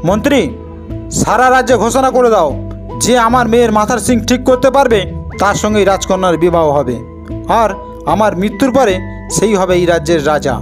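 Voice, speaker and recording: A man's voice: high voice, expressive, normal speed, music in the background. A man playing a commanding, worried father king in a cartoon fairy tale, speaking in imperious declamation.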